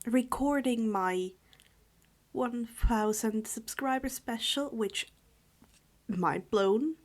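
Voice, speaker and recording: A woman speaking in a hushed, subdued voice, halting and correcting herself as she chats and reads stories aloud. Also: on the high side. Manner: halting, stumbling over words and correcting herself. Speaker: storyteller reading fan fiction aloud for a channel. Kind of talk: informal chat, then read-aloud narration.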